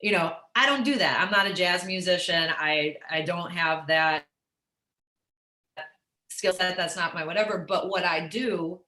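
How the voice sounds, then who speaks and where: Raspy, bright alto woman in a roomy space. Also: fairly low pitch, unhurried. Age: middle-aged. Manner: animated, profane, peppers talk with "like" and "you know". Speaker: interview guest, musician and comedian. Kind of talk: casual, candid interview chat. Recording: roomy echo.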